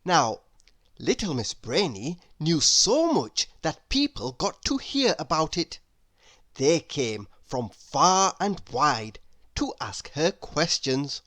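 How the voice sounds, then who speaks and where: A woman narrates a children's storybook with very animated, swooping intonation. Her voice sits a little low and she takes her time, in an expressive storytelling manner. The sound is close and dry. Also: takes it slowly, no echo. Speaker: storybook reader. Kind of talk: scripted read-aloud narration of a children's story.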